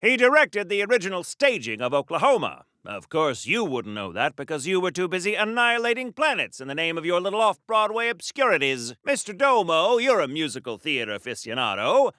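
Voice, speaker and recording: A male Golden Age superhero for a video game, American, earnest and wisecracking. His high, bright voice swings dramatically up and down like an old radio serial hero's. He is folksy and courteous even when he is being hammy. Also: no echo, studio quality, heavily acted. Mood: excitable, indignant. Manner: hammy, old-fashioned polite, folksy exclamations. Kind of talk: 1940s radio-hero style video game acting.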